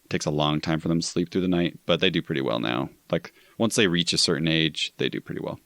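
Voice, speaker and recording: A man's voice: a bass voice, quick pace, a bit bright, no echo. A middle-aged man, a guest on a movie podcast, chatting in an easygoing, friendly way. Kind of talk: casual podcast chat.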